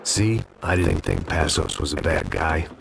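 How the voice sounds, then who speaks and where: A gruff, world-weary ex-cop narrates in a very deep, gravelly voice. He stumbles over his words as he looks back with regret. Heavy game noise sits loud under the voice. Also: unhurried, exaggerated voice.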